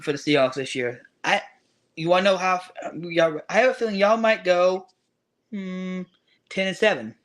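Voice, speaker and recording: A man chats as a guest on a podcast in a casual, halting way, stumbling over his words and starting them again. His voice sits a bit above the usual male range. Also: high voice, a bit bright. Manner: hesitant, stumbling, restarts sentences. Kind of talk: casual chat.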